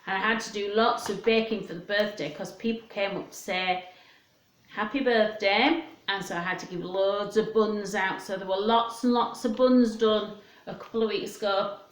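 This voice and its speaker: A woman hosting storytime for young children in a sing-song, questioning manner, chatting and reading aloud. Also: mid-range voice. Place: indoors at home. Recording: echoey home room.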